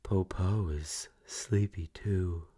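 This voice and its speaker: A man voicing a sly old wolf who poses as a grandmother, with a slow, wheedling, drawn-out delivery. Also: low-pitched, dark tone, slightly rough, no echo, studio quality, heavily acted.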